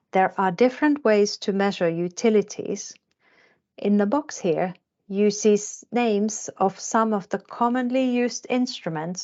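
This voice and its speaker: Slow, measured woman from Finland. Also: mid-range voice, a little mellow. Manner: measured, carefully paced delivery. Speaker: health economist. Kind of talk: scripted lecture narration. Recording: slightly dull lo-fi recording.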